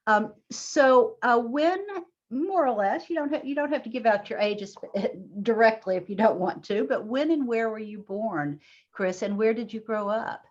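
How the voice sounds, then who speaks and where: A woman's voice: a little high, normal speed, highs cut off. A woman interviewing a guest for an oral history project, encouraging him with short affirmations and follow-up questions.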